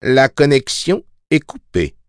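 A man reads as a female automated hostess in a fantasy audiobook. The delivery is formal and courteous, like corporate announcements, and lifted above his normal pitch. The sound is close and dry. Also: sing-song, slow pace, no room sound. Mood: bland, courteous.

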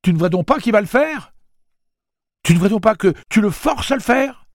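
A man voicing a protective young woman who speaks high and pleading. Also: sing-song, normal speed, creaky, no room sound, studio quality, full character voice.

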